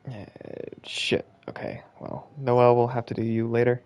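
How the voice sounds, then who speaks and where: A man's voice: mid-range voice, level tone, normal speed, highs cut off. A man streaming a video game with casual commentary, blunt, sweary and given to exasperation. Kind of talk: casual gaming commentary with reading of on-screen text.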